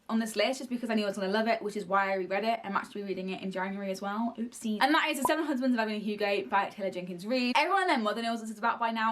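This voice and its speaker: A young woman in her early twenties reviewing books in a casual, gushing way and swearing freely. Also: fairly high pitch. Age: early 20s. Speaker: book reviewer. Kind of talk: casual book review to camera.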